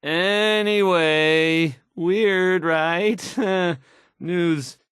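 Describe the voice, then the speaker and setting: Stammering, anxious young radio DJ. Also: male voice, high-pitched, drawn-out words, fairly bright tone, clear, resonant tone, dry recording, clean studio sound, exaggerated voice. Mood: flustered, nervous. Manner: stammering, hesitant, self-doubting, trailing off. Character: shy, anxious, awkward young male radio DJ. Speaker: radio DJ reading news. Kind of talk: awkward radio news patter.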